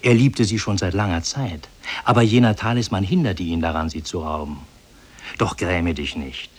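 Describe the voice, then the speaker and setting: A solemn spirit in human shape with a low, husky voice. He declaims formally and kindly, in the measured manner of old radio drama. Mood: grave, instructing. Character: solemn, benevolent male demon in human form. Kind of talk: 1950s German radio drama acting.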